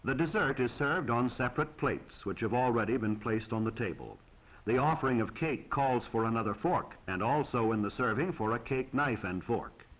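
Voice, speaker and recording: A man's voice: medium pitch, animated, moderate pace, muffled recording. An American man reading scripted 1940s instructional film narration in a formal, precise, instructive manner on an old film soundtrack.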